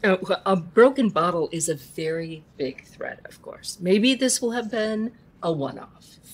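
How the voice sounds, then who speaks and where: An actress playing a supportive women's support group leader, measured and gentle, in an audio drama. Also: female voice, fairly low pitch, animated, breathy edge. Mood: reassuring, measured. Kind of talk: live audio drama acting.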